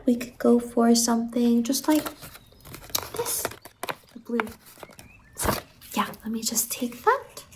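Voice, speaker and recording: A woman playing a sweet, bubbly stylist from a dream land in an ASMR roleplay, speaking softly and often slipping into whispers. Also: a little high, a little hiss or hum. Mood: soft, doting. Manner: gentle, doting, chatty, often dropping into whispers. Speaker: ASMR performer.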